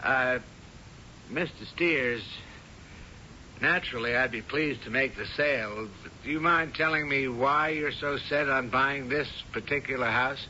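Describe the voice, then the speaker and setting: An actor playing a polite, hesitant house seller in a radio drama, explaining things carefully. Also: male voice, measured pace, sharp tone. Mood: uneasy, polite. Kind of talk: 1970s radio drama acting. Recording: dull old radio recording, faint rumble.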